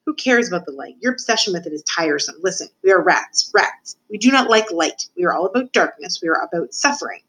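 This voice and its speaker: A woman's voice: on the low side, expressive, fast talker, few pauses, fairly bright tone, husky, rough recording. A woman voicing a cynical, cruel older male rat who lectures theatrically in a storybook. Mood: scornful. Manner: theatrical, lecturing, emphatic with repetitions.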